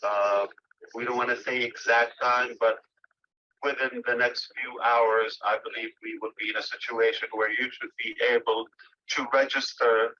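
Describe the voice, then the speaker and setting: A man giving an informal update to members as a company leader on a group call, speaking haltingly with pauses mid-phrase. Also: mid-range voice, little pitch movement, pushed and bright. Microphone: phone or internet call line. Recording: dull lo-fi phone call audio.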